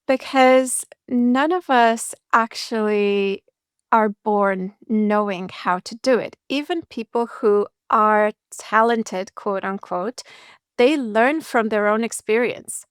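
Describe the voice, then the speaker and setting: A woman speaking as a public speaking coach in a podcast interview, explaining in an articulate, measured and reassuring way. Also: a little high, clear, resonant tone, no room sound, studio quality.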